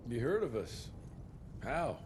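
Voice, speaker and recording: An actor playing a gruff, sardonic monster hunter of the Old West in a video game cutscene, terse, dry and no-nonsense. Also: male voice, medium pitch, very animated, takes it slowly. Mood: terse, businesslike. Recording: loud ambient noise behind the voice.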